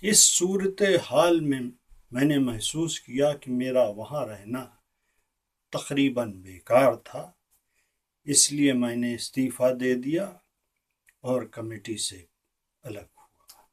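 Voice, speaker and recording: An actor playing an earnest, disillusioned Indian statesman, delivering a theatrical political speech with deliberate, weighty pauses. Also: mid-range voice. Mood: grave, resigned. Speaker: actor performing a monologue. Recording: distant microphone.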